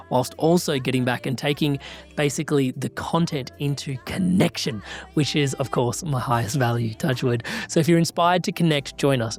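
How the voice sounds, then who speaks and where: Guy hosting a podcast, upbeat plug then slow careful questions. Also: on the high side. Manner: upbeat when plugging the community, slower and probing when questioning. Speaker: podcast host. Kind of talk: podcast interview.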